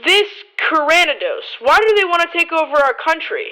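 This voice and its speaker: Audio drama commander acted by a woman, defiant and commanding, high-pitched with a sharp edge. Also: big pitch swings, normal speed, exaggerated voice, low-quality recording. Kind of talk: amateur audio drama acting.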